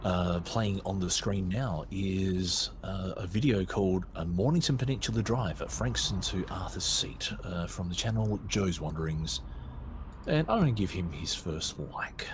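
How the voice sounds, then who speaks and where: A man's voice: low-pitched, unhurried. An Australian man hosting a podcast with casual, rambling commentary, often hesitating as he searches for words. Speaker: podcast host. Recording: loud car-like background noise.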